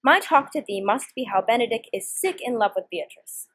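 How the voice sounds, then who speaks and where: A teenage girl acts a young noblewoman plotting in a Shakespeare comedy. Her voice is fairly high and highly animated, and she declaims the verse theatrically. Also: brisk, breathy edge. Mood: conspiratorial. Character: Hero, scheming young noblewoman feigning disdain for her cousin. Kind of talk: Shakespearean stage dialogue, student performance.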